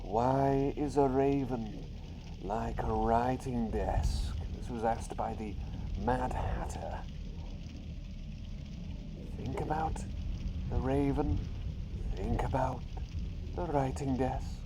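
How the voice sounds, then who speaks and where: Man voicing a slow, teasing riddle-loving talking bird. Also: mid-range voice. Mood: playful, teasing. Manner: teasing, repeats phrases, drawn-out suspenseful delivery. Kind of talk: ASMR roleplay. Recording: clear steady background noise.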